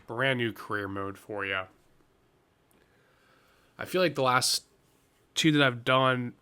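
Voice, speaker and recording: Guy doing laid back game commentary, bright crisp voice, bit stuffed up with a cold. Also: lowish voice. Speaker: host of a gaming series. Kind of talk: casual gaming commentary.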